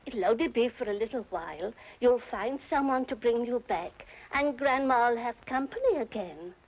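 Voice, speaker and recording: A woman's voice: fairly high pitch, expressive, moderate pace. An actress playing a scheming old grandmother in an old-time radio drama, coaxing and insistent in her delivery. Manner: wheedling, insistent. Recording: dull, noisy old radio recording.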